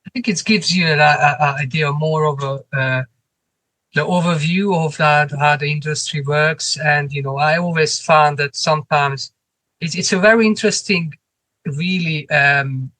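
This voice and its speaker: A man from Hungary with a Hungarian accent, answering interview questions in English as a guest, often pausing and restarting his phrases. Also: on the high side, pushed and bright, no room sound. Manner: halting, restarts phrases, non-native grammar. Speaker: cinema manager, guest.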